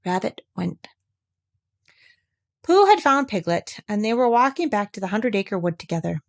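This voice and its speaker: Expressive woman reading a children's story. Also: mid-range voice, moderate pace, vocal fry, no room sound, studio quality. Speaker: storybook reader. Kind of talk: scripted children's story narration.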